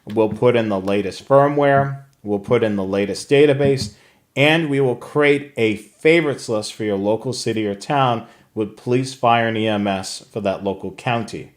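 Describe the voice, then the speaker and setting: A man's voice: fairly low pitch, moderate pace. Casual man co-hosting a live show. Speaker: co-host of a live radio scanner show. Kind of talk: casual live product talk.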